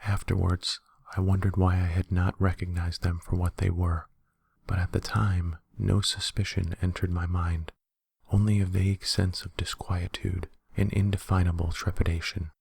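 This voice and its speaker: A man reading a horror story as a narrator in a quiet, measured, soft-spoken ASMR style. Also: deep voice, little pitch movement, creaky, husky, no room sound, studio quality. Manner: quiet, measured, hushed delivery.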